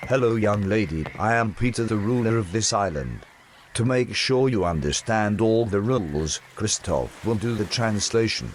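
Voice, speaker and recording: A man's voice: on the low side, lively intonation, unhurried, a little hiss or hum. A man playing the courteous but firm ruler of a prison island in an audio drama, speaking formally and with command. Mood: calm, authoritative. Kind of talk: audio drama dialogue in English.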